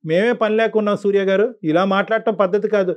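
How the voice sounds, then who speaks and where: A man hosting a phone-in counselling talk. His voice sits high and moves quickly, and he admonishes earnestly, repeating himself for emphasis. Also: fairly even intonation. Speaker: show host and counsellor.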